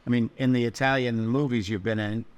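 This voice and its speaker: A man co-hosting a podcast interview, asking measured, thoughtful questions. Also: medium pitch, fairly even intonation, smooth. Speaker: podcast co-host.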